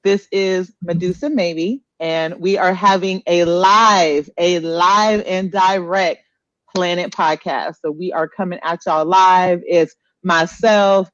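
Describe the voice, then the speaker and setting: A woman's voice: fairly low pitch, fairly even intonation, takes it slowly, fairly bright tone, no echo. American woman hosting a loose chatty podcast, repeats herself, kinda muffled close dry audio. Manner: informal, conversational, repeats phrases. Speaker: podcast host. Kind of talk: casual live podcast chat.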